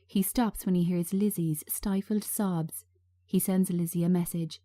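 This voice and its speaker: A woman reads scripted scene descriptions as narrator. Her voice is slightly low and soft-edged, and she takes her time, measured from line to line. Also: steady tone. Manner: measured, present-tense scene reading.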